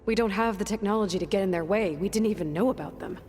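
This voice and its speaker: An actress playing a determined, earnest young video game heroine who questions and reasons aloud in a natural, halting way. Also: female voice, medium pitch, big-room sound, background noise, natural voice. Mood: worried, reasoning. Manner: questioning, hesitant, thinking aloud. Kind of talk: naturalistic game cutscene dialogue. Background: music.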